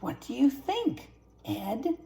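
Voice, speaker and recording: A woman's voice: high-pitched, very animated, slow pace, vocal fry, mellow, voice pushed up, exaggerated voice. A woman playing a menacing, mocking storybook hyena with a taunting delivery.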